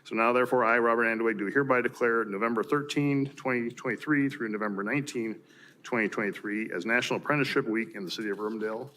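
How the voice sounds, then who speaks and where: Man, American accent, mayor, formal read-aloud delivery, quick pace, council meeting. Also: mid-range voice, bright, forceful tone, slight background noise. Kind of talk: formal reading of proclamations. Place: city council chamber.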